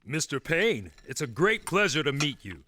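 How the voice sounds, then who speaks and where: A man voicing a polite, earnest working man in an animated series. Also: a little high, moderate pace, background noise. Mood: respectful.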